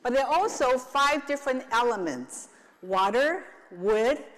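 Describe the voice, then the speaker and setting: A woman from Taiwan hosts a client event from the stage, playful and unhurried. Her voice sits a bit high, and it rings out with the long reverb of a large hall. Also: animated. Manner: playful, leads the audience in call and response. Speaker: attorney and event host.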